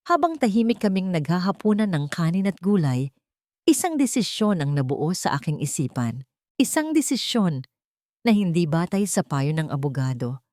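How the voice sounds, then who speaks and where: A woman's voice: fairly low pitch, darkish tone, dry recording, clean studio sound. A woman reading a scripted first-person dramatic story as its narrator.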